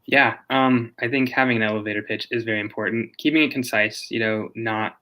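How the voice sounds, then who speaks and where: A man's voice: lowish voice, steady tone, a little fast, pushed and bright. A man on a video-call panel, answering in an easygoing way with many "you know"s. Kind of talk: panel discussion.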